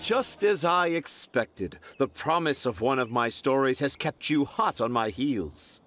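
A man's voice: mid-range voice, lively intonation, measured pace, natural voice. A young man voicing a pompous, theatrical male storyteller in a game, talking in grand, flowery speeches. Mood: mysterious. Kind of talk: studio game voice acting. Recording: game audio with soft background music.

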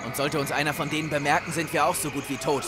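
A man's voice: on the high side, steady tone, fast talker, very noisy, natural voice. An actor playing a secretive male agent hunting a demon, terse and commanding, in an audio drama. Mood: grim.

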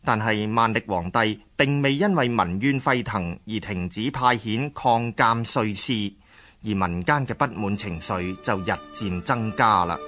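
A man with a Hong Kong Cantonese accent giving formal, measured scripted narration for a historical radio drama. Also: on the low side, fairly bright tone.

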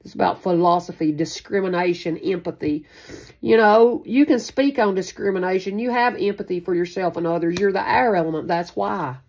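A woman's voice: fairly low pitch, moderate pace, darkish tone, poor audio. Folksy woman reading tarot. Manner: rambling, repetitive, folksy, casually profane. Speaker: tarot reader hosting a spiritual channel. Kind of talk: casual improvised tarot card reading. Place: small indoor room at a card table.

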